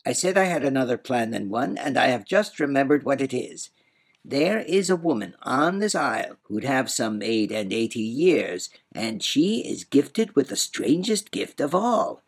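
Man putting on a whimsical, husky little old man. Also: mid-range voice, big pitch swings, a little slow, pitched above the performer's own voice. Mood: boastful, whimsical. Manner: proud, sing-song, rhyming, muddled wordplay. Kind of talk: storybook character voice in audiobook reading.

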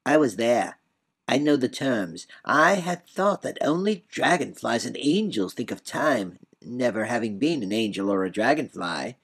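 A man's voice: medium pitch, very animated, unhurried, husky, exaggerated voice. A man voicing a whimsical, boastful, riddling old man in a storybook reading, with proud, sing-song, muddled wordplay. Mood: sly, riddling. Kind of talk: storybook character voice in audiobook reading.

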